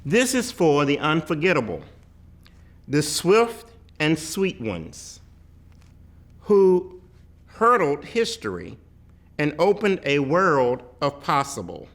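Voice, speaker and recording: Male reader for a poetic picture book: higher-pitched voice, highly animated intonation, emphatic delivery with weighty pauses. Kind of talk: dramatic poetry read-aloud.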